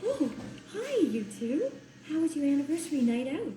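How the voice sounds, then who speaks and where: A woman voicing a motherly, gently exasperated female spider in a children's cartoon. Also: sits high, big pitch swings, moderate pace, echoey room, music in the background. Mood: friendly. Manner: motherly, instructive. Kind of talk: animated children's cartoon acting.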